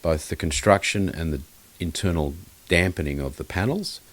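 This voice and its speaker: Very deep-voiced man, rambling and blunt. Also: normal speed, no echo. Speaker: guest loudspeaker designer and maker. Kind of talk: casual podcast interview.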